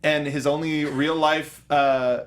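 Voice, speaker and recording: A young man co-hosting a casual movie review chat, animated and breaking into goofy voices when he acts out reactions. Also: a little high, slow pace. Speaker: podcast co-host.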